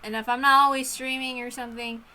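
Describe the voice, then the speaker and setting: A woman's voice: high-pitched, level tone, fast, bright, forceful tone, slight background noise. A woman streamer chatting casually, rambling in an apologetic way and often interrupting herself. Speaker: streamer who reads visual novels aloud. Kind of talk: casual livestream chat.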